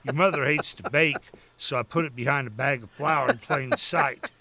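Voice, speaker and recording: A man's voice: mid-range voice, normal speed, sharp tone. An actor playing an older country farm father, dry-humored and folksy, in a radio drama. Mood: sly. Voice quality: folksy, conspiratorial. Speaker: Ernie's father.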